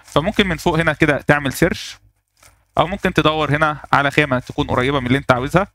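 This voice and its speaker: A man with an Egyptian Arabic accent walking through a software tutorial step by step, pausing on fillers as he works. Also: a little high, fairly even intonation, dry recording. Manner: explains step by step, thinking aloud with fillers. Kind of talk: screen-recorded software tutorial.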